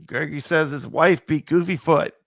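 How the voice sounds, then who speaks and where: A man co-hosting a casual live stream, chatting with viewers; his voice is still gravelly from being sick. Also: fairly high pitch, moderate pace, no room sound, clean studio sound. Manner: relaxed, chatty, greets viewers. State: gravelly, voice not fully recovered.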